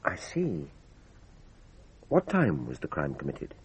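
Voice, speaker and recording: A man's voice: rumbling low, huge pitch swings, slow pace, slightly rough, heavily acted. A man acting in a radio drama as a formal, polite examining magistrate who questions methodically. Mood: probing. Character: formal, considerate male French examining magistrate questioning a witness.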